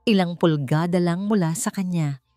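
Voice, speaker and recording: Lower-voiced Filipino woman narrating dramatically. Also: normal speed, dark tone, faint music behind. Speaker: story narrator. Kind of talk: dramatic scripted narration of a romance story.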